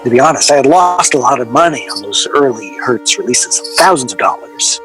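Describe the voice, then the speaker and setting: An actor playing a wistful, aggrieved man who reminisces in a reflective, measured way, as if interviewed for a radio drama. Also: medium pitch, bright, forceful tone, rough recording. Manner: reflective, measured, rhetorical questioning. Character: wistful, aggrieved man recalling a soured musical collaboration. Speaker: interview guest. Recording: music playing behind the voice. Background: music.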